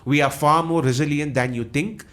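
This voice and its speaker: A man's voice: on the high side, normal speed, a bit bright. Sri Lankan man with a Jaffna accent. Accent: Jaffna Tamil-influenced Sri Lankan English. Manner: explanatory, repeats himself, frequent restarts. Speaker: guest analyst. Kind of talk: analytical interview talk.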